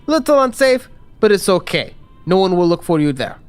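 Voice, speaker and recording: Man putting on a formal, animated shady fixer. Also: high-pitched, normal speed, smooth, faint music behind. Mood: businesslike. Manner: clipped, matter-of-fact phrasing. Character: shady male fixer, the Turk, formal, dry, businesslike. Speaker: game master. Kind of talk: tabletop roleplay.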